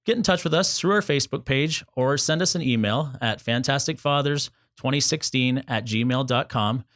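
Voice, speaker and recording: An upbeat man hosting a talk show, cheerful and quick with a joke as he runs the segment. His voice is recorded dry and close, with no room sound. He moves briskly through introductions and announcements. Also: medium pitch, a bit bright, no echo. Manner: upbeat, promotional, joking. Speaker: show host.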